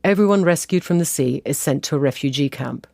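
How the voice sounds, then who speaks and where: A man's voice: fairly high pitch, a little fast, creaky, darkish tone, no echo. A man reading scripted narration for a narrative radio documentary. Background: music bed.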